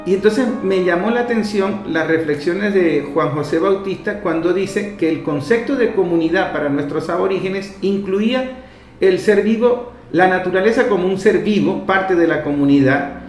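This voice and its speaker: A man's voice: high-pitched, normal speed. A Venezuelan man hosting a radio interview, speaking in long, discursive sentences. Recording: roomy echo, faint music behind.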